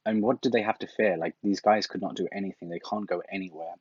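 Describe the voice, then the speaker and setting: A man's voice: low voice, fairly even intonation, quick pace, a little mellow, breathy edge, no room sound, muffled recording. A man hosting a book review series, talking casually from his home library with many fillers and restarts. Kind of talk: casual talk, reviewing books.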